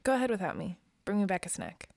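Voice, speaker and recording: A woman's voice: medium pitch, very animated, fast talker, darkish tone, no echo, clean studio sound. A woman acting a worried, self-blaming character in an audio drama, speaking in a hesitant, subdued way. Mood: tired, evasive. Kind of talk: naturalistic audio drama dialogue.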